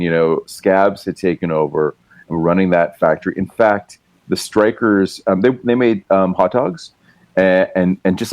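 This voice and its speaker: Deep-voiced laid-back storyteller man. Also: a little mellow, no room sound. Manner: rambling storyteller, laid-back, swears casually. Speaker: guest musician and writer. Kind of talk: casual podcast interview. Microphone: remote call mic.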